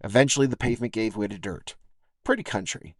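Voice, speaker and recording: A man's voice: lowish voice, quick pace, no room sound, clean studio sound. A man reading his own scripted personal essay as a podcast host, in a reflective, folksy storytelling way.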